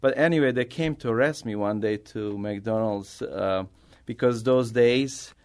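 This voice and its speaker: A middle-aged man from Bosnia with a Bosnian accent, a native Bosnian speaker answering interview questions as an artist in halting, non-native English. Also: medium pitch. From Bosnia, former Yugoslavia. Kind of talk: conversational interview answers, personal storytelling.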